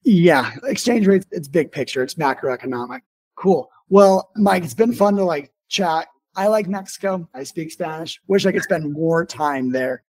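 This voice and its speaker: Guy hosting a chatty business podcast, kinda high voice, loose rambly casual talk. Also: moderate pace, a little mellow. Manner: informal, rambling, frequent "like". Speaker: podcast host and business owner. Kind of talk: casual conversational interview.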